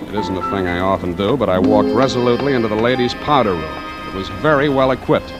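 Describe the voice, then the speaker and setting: An American actor playing a cynical hardboiled private detective in 1940s radio noir, dry, sardonic and terse. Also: male voice, expressive, fast, natural voice. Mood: wry narration. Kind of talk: 1940s radio noir acting and first-person narration. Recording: old radio recording with music and rumbling noise.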